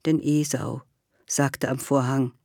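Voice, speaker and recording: A man's voice: medium pitch, little pitch movement, slow pace, creaky, a little mellow, dry recording, clean studio sound. A man delivering scripted literary narration as a narrator in a radio drama.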